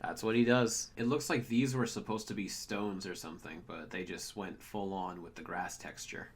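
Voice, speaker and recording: A man's voice: deep voice, steady tone, normal speed, husky. A man hosting a cartoon review show, giving casual, enthusiastic fan commentary and reactions. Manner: informal, enthusiastic fan talk.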